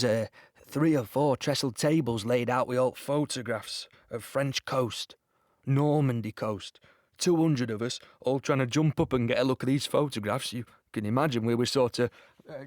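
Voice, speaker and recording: An actor playing an eager, fearless young British soldier, telling his war story in a chatty, excitable way in an audio play. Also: mid-range voice, moderate pace, dry recording, clean studio sound, natural voice. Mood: excited. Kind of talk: animated storytelling monologue, quoting others.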